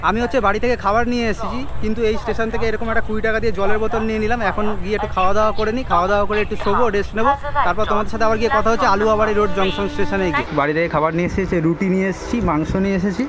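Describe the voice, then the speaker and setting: A man's voice: high-pitched, fairly even intonation, rapid-fire. A young man vlogging a night train journey, chatting to the camera held at arm's length and listing the stations ahead. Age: young adult. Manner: chatty, lists upcoming stations. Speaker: travel vlogger. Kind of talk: casual travel vlog narration. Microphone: handheld camera at arm's length. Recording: noisy train and platform sound. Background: train and station noise.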